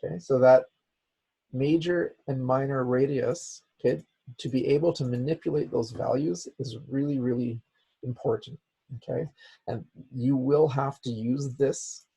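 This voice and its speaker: A man with a raspy, hoarse voice, as he is losing it, teaching a software class with many "okay"s. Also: medium pitch, low-quality recording. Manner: repeats "okay" as a filler. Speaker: instructor. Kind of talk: software tutorial lecture.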